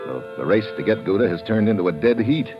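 A man's voice: fairly low pitch, very animated, fast, highs cut off. A man with an American accent playing a tough, wry agent, terse and slangy, narrating an old radio crime drama. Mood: wry, tense narration. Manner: hard-boiled, terse, slangy. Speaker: lead actor and narrator. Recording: band-limited old radio recording, dramatic music.

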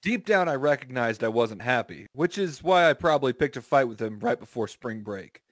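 A man's voice: mid-range voice, lively intonation, normal speed, no room sound, clean studio sound. A man reading aloud as a podcast co-host, informal and profane, breaking into casual banter.

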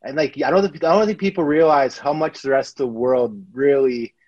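A man's voice: mid-range voice, brisk, darkish tone, no room sound. Chill guest guy calling in, dead dry sound, kinda muffled. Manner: easygoing, laid-back, laughs easily. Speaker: podcast guest. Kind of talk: casual podcast chat.

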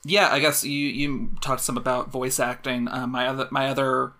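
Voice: medium pitch, little pitch movement, normal speed, pushed and bright. A podcast co-host chatting casually and analytically about a film, in a conversational, thinking-aloud way.

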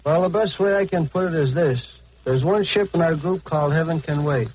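An American sergeant, a man with a higher-set voice, answers in scripted 1940s radio style. The recording is dull, with slight noise behind. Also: fairly quick, a little mellow. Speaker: American army air force sergeant.

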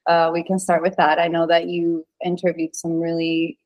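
A woman's voice: lowish voice, completely flat delivery, moderate pace. A woman from Venezuela who grew up in Utah, chatting earnestly as a podcast guest, with lots of fillers and easy laughs. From Venezuela, raised in Utah. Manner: warm, earnest, laughs easily, many fillers. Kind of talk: casual interview chat.

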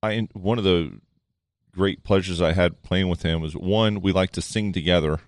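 A man with a low voice, a musician, reflecting thoughtfully and haltingly in casual chat. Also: dry recording, studio quality. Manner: thoughtful, halting, many restarts.

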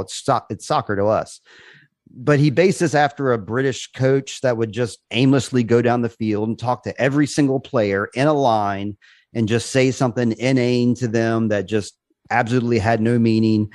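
A man's voice: medium pitch, darkish tone, no room sound, studio quality. A man hosting a podcast, recapping a film in casual chat and laughing often. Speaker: podcast host.

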